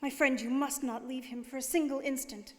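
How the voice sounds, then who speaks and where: A woman playing a gentle, devoted princess in a radio drama, formal, earnest and pleading. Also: sits high, fairly even intonation, brisk, natural voice. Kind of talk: stage radio drama acting. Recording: very reverberant studio hall.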